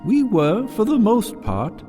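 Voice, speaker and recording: Man acting a slow, solemn fairy-tale storyteller. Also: sits high, wildly swooping pitch, mellow, soft background music, heavily acted. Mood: solemn, wistful. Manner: grave, dramatic, deliberate. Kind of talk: scripted dramatic prologue narration.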